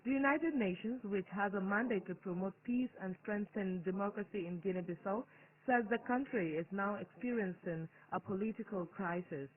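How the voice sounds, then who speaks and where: A newsreader with a Nigerian accent reads scripted news in a formal, measured way over a shortwave radio broadcast. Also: medium pitch. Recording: muffled narrow-band digital shortwave radio broadcast.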